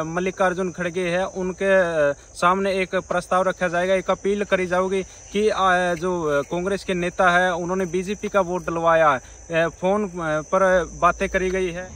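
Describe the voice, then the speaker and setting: A man presenting an informal news report to viewers in a halting, repetitive way with frequent hesitations. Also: high-pitched, fairly bright tone, poor audio.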